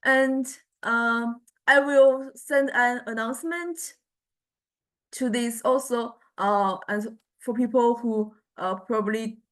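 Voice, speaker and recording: A female university lecturer talks to her class in an informal way. Her voice sits a little on the high side. She moves forward haltingly, often repeating words as she shapes each phrase. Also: fairly even intonation, fairly bright tone.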